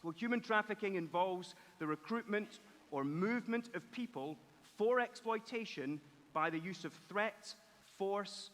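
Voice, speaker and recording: Scottish guy giving a talk at church, highish voice, earnest, big echoey hall. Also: lively intonation, a little slow, a bit bright. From Scotland. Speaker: charity director and guest speaker. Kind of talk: prepared talk to a church audience. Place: church event, speaking to an audience. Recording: echoey hall, long reverb.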